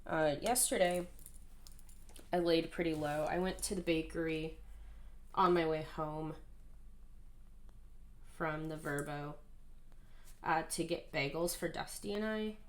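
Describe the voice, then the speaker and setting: Hoarse low-voiced woman chatting. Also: slow, pauses often. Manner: chatty, rambling, self-deprecating. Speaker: planner vlogger. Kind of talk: casual rambling chat while planning. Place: at home, indoors.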